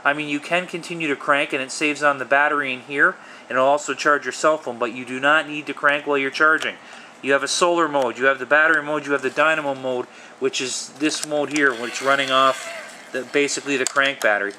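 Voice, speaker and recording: A man's voice: mid-range voice, fairly even intonation, a little fast, pushed and bright, slight background noise. A man casually showing off a product hands-on, talking informally and with enthusiasm. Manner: informal, enthusiastic, mild swearing. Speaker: gadget reviewer.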